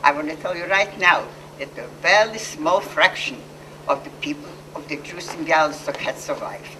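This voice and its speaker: A woman's voice: deep voice, lively intonation, far from the mic, background noise. An older woman from Poland with a Polish accent, giving an earnest personal testimony to an audience and often correcting herself mid-sentence. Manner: earnest, halting, with restarts and self-corrections. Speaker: Holocaust survivor speaker.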